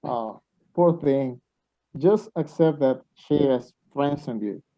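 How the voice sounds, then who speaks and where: A man's voice: a little high, takes it slowly. A man acting an anxious, impatient, lovestruck young student in an amateur radio play, earnest and a little stilted. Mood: competitive. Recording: dull lo-fi home recording.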